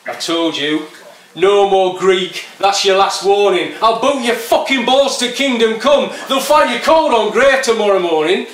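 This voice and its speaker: A man's voice: high-pitched, lively intonation, a little slow, voice pushed up. A man putting on a jeering foul-mouthed young skinhead. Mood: threatening. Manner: sneering, swearing, shouted threats. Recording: echoey and distant with faint noise.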